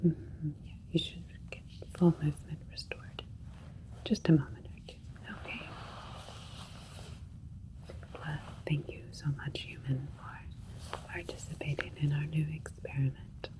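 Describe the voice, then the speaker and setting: Caring, clinical alien doctor for sci-fi ASMR roleplay female performer: breathy whisper, level low-key pitch, gentle bedside manner. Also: steady tone, lightly acted, poor audio. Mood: gentle, polite. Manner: gentle, reassuring, unhurried, clinical questioning.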